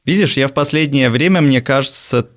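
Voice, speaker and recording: A young man in his twenties from Riga who is a native Latvian speaker. He answers interview questions in a thoughtful, hesitant way with many filler pauses. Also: mid-range voice. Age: 20s. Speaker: guest, university lecturer.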